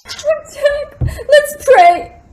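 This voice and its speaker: A woman's voice: very high-pitched, lively intonation, very slow, mellow, exaggerated voice. An actress playing a tender, fearful young woman in a radio drama, speaking in a plaintive, melodramatic way. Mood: pleading.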